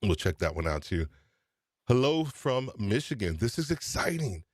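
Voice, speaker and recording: A man's voice: mid-range voice, big pitch swings, moderate pace, no echo, studio quality. A man with a New York accent hosting a live podcast stream, energetically greeting viewers by name. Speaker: podcast livestream host. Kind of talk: casual live-stream chat.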